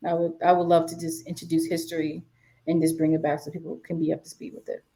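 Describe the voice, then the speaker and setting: Woman, 20s, from the DC area; relaxed, chatty podcast guest, lowish mellow voice. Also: fast talker. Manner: relaxed, chatty, many fillers and restarts. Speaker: guest, sports media writer. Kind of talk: casual interview talk.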